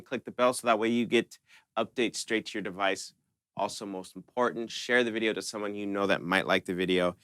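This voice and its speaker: Bright, clear-voiced man teaching casually. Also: fairly low pitch, no room sound, clean studio sound. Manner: informal, conversational instructor. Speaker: host of a synth tutorial channel. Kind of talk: casual step-by-step music tutorial.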